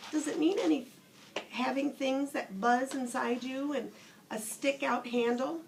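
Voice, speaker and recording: Woman reading as a shy little toy rabbit, higher-pitched. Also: steady tone, a little slow, vocal fry, slight background noise, low-quality recording. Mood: curious, puzzled. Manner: earnest, questioning. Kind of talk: bedtime storybook character reading.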